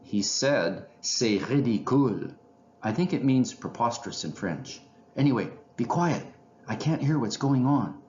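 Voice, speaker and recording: A man voicing a skeptical, bossy but adventurous male squirrel in a children's storybook, brisk and insistent. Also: mid-range voice, animated, darkish tone, echoey room, lo-fi sound, slight background noise, lightly acted. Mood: impatient. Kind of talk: children's storybook character reading.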